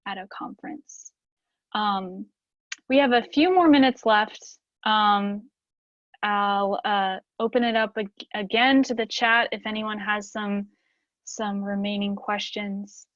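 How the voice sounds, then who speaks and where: A woman's voice: medium pitch, fairly bright tone, no echo, highs cut off. A woman moderating a webinar Q&A, polite and hesitant with frequent ums.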